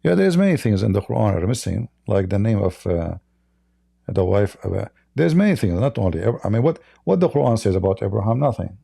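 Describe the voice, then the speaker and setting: A gravelly, dark-toned man hosts a live call-in debate. His first language is Arabic, and he argues in a mocking, combative way. The recording is dry and close. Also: lowish voice, big pitch swings, a little fast, no room sound. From Middle East. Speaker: host.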